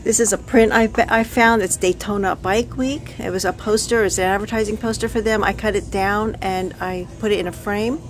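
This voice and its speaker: A woman's voice: medium pitch, fairly even intonation, normal speed, hardly any pauses, soft background music. Chatty middle-aged woman showing her finds. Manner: chatty, with frequent ums and self-corrections. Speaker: antique booth vendor. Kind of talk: casual show-and-tell vlog. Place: living room, then car and antique mall.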